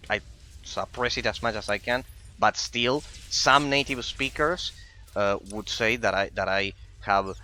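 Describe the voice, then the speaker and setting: A man's voice: lowish voice, unhurried, bright, forceful tone. A non-native English-speaking man with a European accent, rambling in casual commentary over a game. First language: not English. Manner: rambling, with frequent repeated words. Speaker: gaming streamer and English teacher.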